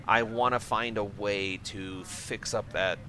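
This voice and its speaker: A man's voice: lowish voice, fairly even intonation, slow pace, bright, forceful tone, a little hiss or hum. A man from Michigan with an American accent, doing casual, jokey gameplay commentary and chatting with viewers in slangy, self-deprecating talk. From Michigan, United States. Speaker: game streamer.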